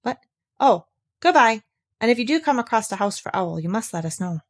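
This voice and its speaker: A woman acts out a busy male rabbit from a children's story. He is bossy, practical and matter-of-fact, quick to correct. The intonation is lively. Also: a little high. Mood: brisk, scolding. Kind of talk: children's storybook read aloud.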